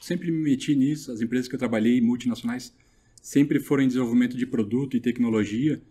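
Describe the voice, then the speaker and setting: A middle-aged man, telling his story in a relaxed, chatty way as a podcast guest. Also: medium pitch, quick pace, husky. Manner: relaxed, chatty, many fillers. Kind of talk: casual interview storytelling.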